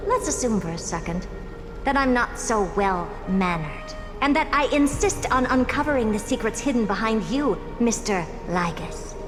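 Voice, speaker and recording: A woman's voice: on the high side, animated, moderate pace, natural voice. An actress voicing a poised, haughty woman scholar in a video game, arch, teasing and self-assured. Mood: cool defiance. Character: poised, haughty long-lived woman scholar, coolly curious. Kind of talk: scripted video game voice acting. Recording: long reverb, clear background music.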